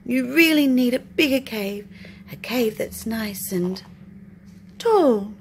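A woman voicing a sneaky, flattering male fox from a children's storybook, with a pushy salesman's patter. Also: fairly high pitch, takes it slowly. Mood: insistent. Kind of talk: children's storybook read aloud. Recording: noisy home recording.

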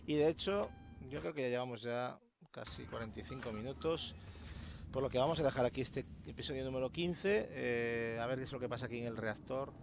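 Man, Spain accent, gravelly voice, casual sweary let's play chat, game machinery noise behind. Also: medium pitch, a little fast. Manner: colloquial, mildly sweary. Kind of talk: casual gameplay commentary. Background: video game ambience.

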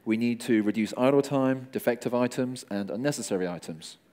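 A man's voice: medium pitch, a little fast, darkish tone. A middle-aged man giving a conversational conference talk on stage, wry and joking with the audience. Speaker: conference speaker.

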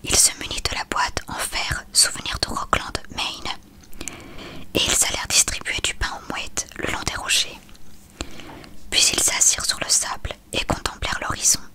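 A person reading a novel aloud in a breathy whisper, in scripted narration. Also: normal speed, bright, forceful tone. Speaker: audiobook reader.

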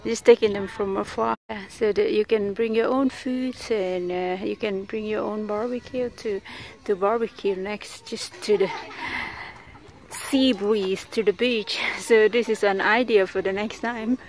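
A woman filming herself on a walk outdoors, talking at an unhurried, drawn-out pace in a mid-range voice. She chats along with lots of fillers and little laughs, sounding a bit tired from the heat. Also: mid-range voice, steady tone, a little mellow, rough recording. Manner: chatty, laughs often, many fillers. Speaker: vlogger. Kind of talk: casual walking tour narration. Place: outdoors at a beach park with trees.

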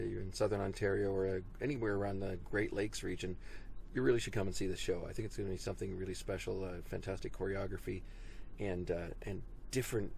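A man's voice: low-pitched, gravelly, a little mellow, a little hiss or hum. A man in his fifties filming a casual vlog from his car and a rehearsal hall, talking with lots of filler words. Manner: chatty, many "uh" fillers. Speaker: woodwind doubler vlogger. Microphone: handheld camera at arm's length.

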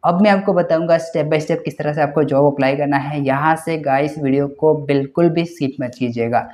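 A young man hosting a job-vacancy channel, walking viewers through a listing on screen in a quick, repetitive explainer style, often addressing them as guys. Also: fairly high pitch, darkish tone. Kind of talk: screen-share walkthrough explainer.

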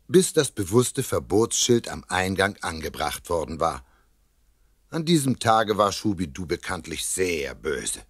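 A man's voice: low-pitched, no room sound, clean studio sound, full character voice. A man narrating a children's audio drama in a scripted storytelling style. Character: storytelling narrator and castle tour guide.

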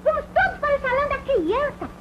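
A woman voicing a bossy, energetic little girl in an animated TV commercial. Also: extremely high pitch, fast talker. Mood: excited. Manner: bossy, exclamatory, childlike. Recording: muffled old TV commercial with loud music.

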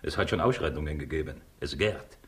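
An actor playing a cynical, greedy Soviet agent in a 1960s radio crime play, smug and lecturing. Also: male voice, rumbling low, fairly quick. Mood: cynical, calculating. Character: cynical, scheming Soviet agent, male, greedy and self-assured. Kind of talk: 1960s German-language radio crime drama acting.

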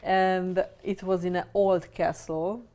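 A woman with a Hungarian accent and a slightly deep voice, answering interview questions in a measured way as she searches for English words. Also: flat delivery, warm tone.

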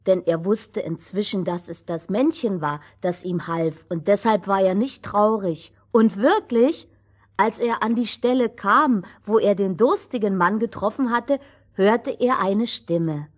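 Woman storyteller, children's fairy tale narration, very slow pace, medium pitch.

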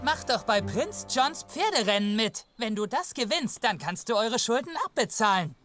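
A woman's voice: a little high, very animated, normal speed, a bit bright. A woman voicing a cheeky, confident boy hero in a dubbed cartoon. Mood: cocky. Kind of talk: cartoon dubbing. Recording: music score underneath.

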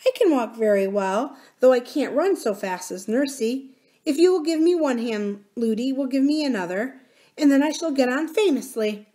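A woman voicing an earnest, polite little princess in a storybook, childlike and gently insistent. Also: high-pitched, lively intonation, normal speed, voice pushed up.

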